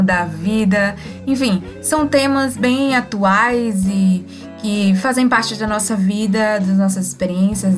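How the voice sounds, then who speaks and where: A Brazilian woman who hosts a podcast, giving an informal promo in a friendly, conversational way. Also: medium pitch. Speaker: podcast host.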